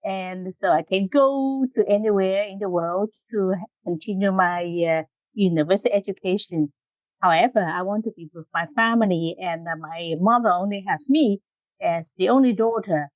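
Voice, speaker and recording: A woman's voice: medium pitch, normal speed, no echo, lo-fi sound. A middle-aged woman from Hong Kong with a Hong Kong accent, answering interview questions with many ums and short laughs. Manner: frequent ums and uhs, laughs between phrases. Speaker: guest engineer.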